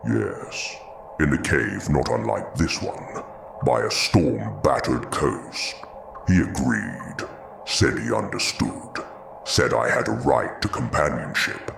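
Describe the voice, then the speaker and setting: Solemn creature, slow delivery, swooping intonation, brooding, gravelly, audio drama, noisy ambience. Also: male voice, very deep voice, very animated, full character voice. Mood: haunted, mournful. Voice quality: gravelly, breathy at times. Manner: slow, solemn, terse phrases.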